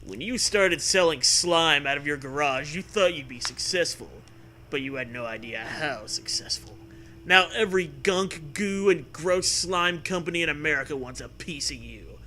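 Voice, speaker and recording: Bright, forceful man reading comedy aloud. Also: on the high side, expressive, normal speed, slight background noise. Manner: theatrical, amused, breaking into riffs and swearing. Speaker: host reading aloud a choose-your-own-adventure parody. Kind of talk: comic read-aloud with casual joking chat.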